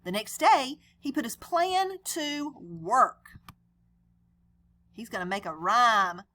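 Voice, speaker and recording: A woman's voice: on the high side, expressive, slow pace, pushed and bright. A woman reading a picture book aloud as a teacher would, chatting conversationally to young listeners with questions and explanations. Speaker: read-aloud storyteller and teacher.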